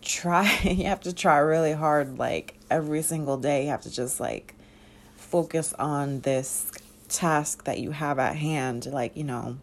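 Casting: woman in her thirties, low sleepy voice, unhurried chatty podcast host. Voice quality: sleepy-sounding voice. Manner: relaxed, chatty, many fillers and soft laughs. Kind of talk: casual solo podcast chat.

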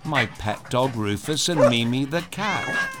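Playful, lively male children's storyteller. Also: medium pitch, measured pace, heavy background noise. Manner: playful, theatrical, explanatory. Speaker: host and reader of a children's story show. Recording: clear music behind voice.

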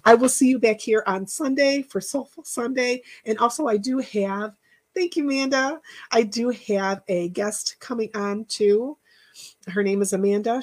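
A woman hosting a casual live chat, rambling and encouraging, thanking viewers by name, with a stuffy nose and a voice that is going hoarse. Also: mid-range voice. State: losing her voice. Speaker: podcast host.

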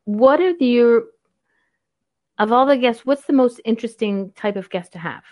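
A woman's voice: a little high, moderate pace, darkish tone, no room sound, studio quality. A woman in a casual chat, playful and teasing, laughing often.

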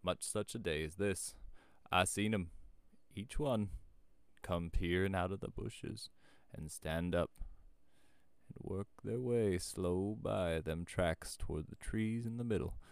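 A man's voice: sits low, vocal fry, clear, resonant tone, no room sound, heavily acted. Casting: male reader as elderly country shepherd for a storybook, grave and emphatic, rustic turns of speech, very dry recording. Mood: grave, insistent. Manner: rustic dialect speech, slow emphatic warnings. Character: old rustic shepherd, grave, startled, warning. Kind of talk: storybook character voice.

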